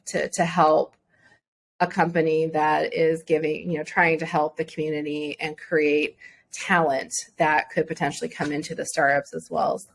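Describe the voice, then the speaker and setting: A woman's voice: low voice, vocal fry, a little hiss or hum. A woman with a Midwestern American accent answering interview questions as a venture fund director, thoughtful, with many fillers and restarts.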